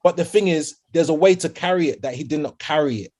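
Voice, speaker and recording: A man with a nasal voice co-hosting a music podcast in casual, animated chat. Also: fairly high pitch, fast. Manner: animated, repeats "do you get me". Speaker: podcast co-host.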